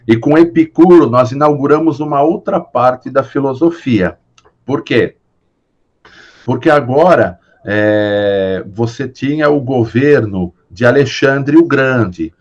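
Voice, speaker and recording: A man's voice: medium pitch, highs cut off. A Brazilian man teaching a philosophy class online, explaining patiently with many fillers and tag questions. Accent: Brazilian Portuguese.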